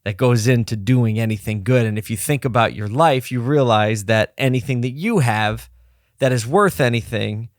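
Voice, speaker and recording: A man hosting a solo podcast from his office desk, slightly hoarse and low on energy, talking in a rambling, candid way and pepping himself up as he goes. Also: moderate pace, no echo, clean studio sound. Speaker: podcast host. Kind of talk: casual solo podcast talk.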